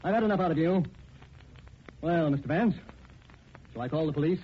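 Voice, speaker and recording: A man's voice: a little high, fairly quick, natural voice. A man playing an indignant, blustering middle-aged householder in a 1940s radio melodrama, emphatic and agitated. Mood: alarmed, outraged. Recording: dull, noisy 1940s radio recording.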